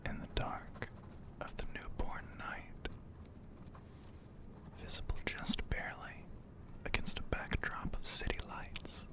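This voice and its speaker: A man narrating an ASMR story in a breathy, hushed whisper, poetic and full of pauses, close to the microphone. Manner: slow, hushed, poetic delivery with frequent pauses.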